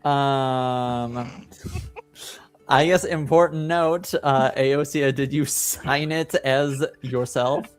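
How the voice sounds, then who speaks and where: A man's voice: medium pitch, steady tone, drawn-out words, smooth, faint music behind. A man acting as game master, casually narrating a tabletop roleplaying game among other players.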